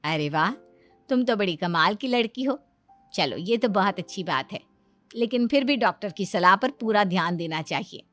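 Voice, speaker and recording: A woman's voice: mid-range voice, expressive, moderate pace, a bit bright, soft background music, close to an everyday voice. A woman voicing a friendly, encouraging lady doctor chatting with a family in an acted comedy story. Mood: chatty, approving.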